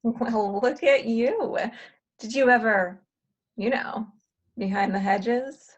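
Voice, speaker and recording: Actress as worldly wry woman, blunt, teasing, knowing, fairly high voice, comedic table read. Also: slow, highs cut off.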